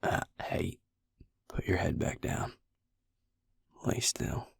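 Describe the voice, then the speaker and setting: A man playing a gruff, caring vampire healer in an intimate roleplay audio, speaking in a hushed, breathy, gravelly murmur, blunt but tender. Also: slow, lightly acted. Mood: gruff, scolding. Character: gruff, caring adult male vampire healer, protective and exasperated.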